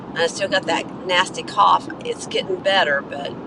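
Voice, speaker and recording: A hoarse middle-aged woman chatting casually with her viewers during a live stream while driving. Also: fairly low pitch, moderate pace. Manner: chatty, coughing between remarks. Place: inside a moving car. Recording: road noise from a moving car.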